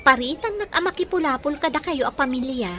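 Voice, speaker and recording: A woman's voice: high-pitched, animated, brisk, crowd noise. A woman with an Ilocano accent playing a teasing, demure young village sweetheart in an audio drama, with a playful manner. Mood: coy, friendly. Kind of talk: Ilocano radio-style drama acting.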